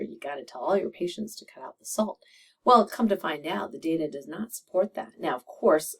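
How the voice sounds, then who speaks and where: A middle-aged woman with a slightly raspy voice, a doctor chatting to camera, laughing often and using many fillers. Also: on the low side, recorded from a distance. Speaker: medical doctor and video host. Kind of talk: casual monologue to camera.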